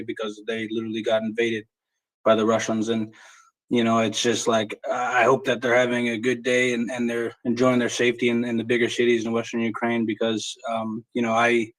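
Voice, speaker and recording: A man who is a military veteran gives a personal account in a mid-pitched voice. His delivery is monotone and halting, with blunt, casual swearing. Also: rough recording. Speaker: military veteran fighting in Ukraine. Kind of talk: personal account in a live audio chat.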